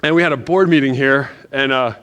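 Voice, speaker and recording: A man from Northern Virginia preaching in a church, telling a story in a loose, animated, self-deprecating way with lots of restarts. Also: medium pitch, fairly quick, dull audio. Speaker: pastor. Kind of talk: casual storytelling sermon.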